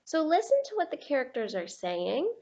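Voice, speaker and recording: A woman teacher explaining a picture book to children in an instructive way, with deliberate emphasis. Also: high voice, very animated, darkish tone. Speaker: teacher reading aloud.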